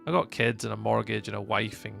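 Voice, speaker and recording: Scottish male streamer, gravelly and bright, chatty, rambling, lots of ums. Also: fairly low pitch, completely flat delivery, a little fast, faint music behind. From Scotland. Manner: rambling and chatty, many fillers and restarts. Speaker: live streamer. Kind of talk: casual chat with live chat while gaming.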